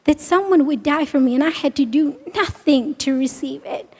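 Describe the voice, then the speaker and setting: A young woman from India, with a small soft voice, giving a halting, emotional church testimony in a large auditorium. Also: sits high. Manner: halting, emotional, many restarts. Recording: long reverb of a large hall.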